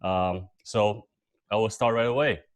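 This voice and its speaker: A young man who grew up between Taiwan and the United States, speaking as a guest presenter on a video call in a relaxed, filler-heavy storytelling way. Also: lowish voice, measured pace, smooth.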